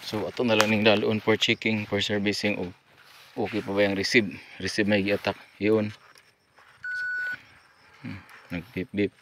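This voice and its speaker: A man's voice: on the low side, flat delivery, a little slow, pauses often. A man with a Cebuano Filipino accent giving casual, informal vlog commentary in short fragments as he works on site. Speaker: radio repeater technician and vlogger. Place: hilltop radio repeater site. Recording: noisy on-site amateur recording.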